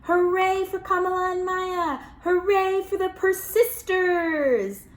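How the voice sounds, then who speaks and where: A woman reading a children's picture book aloud, expressive and animated, calling listeners friends. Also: very high-pitched, slow. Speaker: children's storyteller. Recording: roomy echo.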